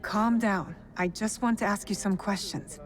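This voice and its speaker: An actress playing a calm, resolute young woman investigator in a video game drama, speaking in a measured, firm way. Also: medium pitch, close to an everyday voice. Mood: measured, probing. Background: background music.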